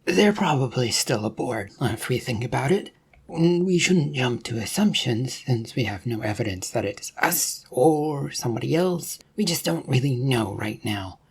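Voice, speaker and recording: A storyteller voicing a wary, reasoning detective in a science fiction story, with a husky voice and a measured, questioning manner. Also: medium pitch, lively intonation, exaggerated voice. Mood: reasoning, insistent. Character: wary, reasoning detective questioning fellow suspects aboard space yacht.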